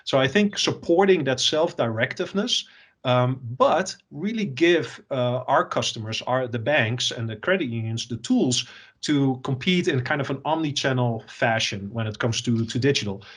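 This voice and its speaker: A man from the Netherlands with a Dutch accent, an executive answering interview questions and often pausing with fillers and repeated words. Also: mid-range voice, lively intonation, fairly bright tone. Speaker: software company executive.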